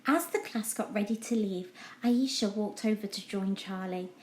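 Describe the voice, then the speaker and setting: A woman's voice: medium pitch, normal speed, a little mellow. A woman reading a children's story aloud as a scripted storytime narration. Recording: echoey room.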